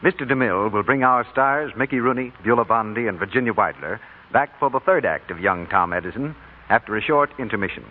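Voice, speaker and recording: A man's voice: lowish voice, animated, brisk. A man announcing a 1940s radio commercial with a polished sales delivery. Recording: tinny, hissy old radio broadcast.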